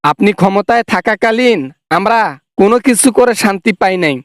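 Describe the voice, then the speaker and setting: A man's voice: sits high, moderate pace, no echo, clean studio sound, close to an everyday voice. A man voicing a mocking rickshaw-puller. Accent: Bangladeshi Bengali. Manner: mocking, blunt, sarcastic. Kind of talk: comic cartoon phone call.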